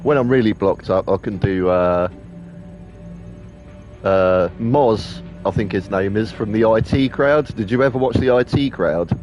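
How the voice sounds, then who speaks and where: A man's voice: lowish voice, measured pace, darkish tone, lo-fi sound. A man giving casual, sweary, jokey commentary while playing a video game. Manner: sweary, jokey, mocking, laughs often. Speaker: gaming commentator. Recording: voice over game audio with faint music.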